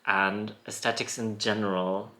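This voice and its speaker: A thirty-something man hosts a solo podcast from his rural home. He talks in a thoughtful, musing way, laughing softly now and then. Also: fairly low pitch, level tone, unhurried, a little hiss or hum. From born in Poland, raised in Germany. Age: 30s. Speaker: podcast host. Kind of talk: reflective solo podcast talk.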